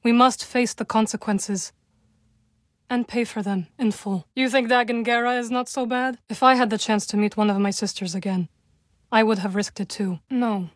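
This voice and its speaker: An actress voicing a composed, dry-witted young witch warrior in a video game, speaking in measured, formal phrases. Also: on the high side, fairly even intonation, no echo, close to an everyday voice. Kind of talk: video game character dialogue.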